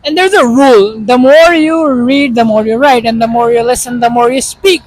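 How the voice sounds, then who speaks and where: A man's voice: very high-pitched, moderate pace, poor audio. A man from Pakistan with a Pakistani accent and Urdu as his first language, giving casual, earnest advice straight to the viewer. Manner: earnest, explaining directly to the viewer. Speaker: vlogger.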